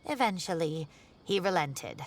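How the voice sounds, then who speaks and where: Low-voiced, genteel older professor. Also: female voice, a little slow, slight background noise. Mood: concerned, recounting. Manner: genteel, expressive storytelling. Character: genteel older female professor, kindly, fretful and gossipy neighbour. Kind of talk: video game character acting.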